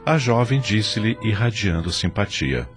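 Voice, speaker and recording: Deep, expressive Brazilian male narrator. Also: measured pace. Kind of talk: dramatic scripted narration. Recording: music under the voice.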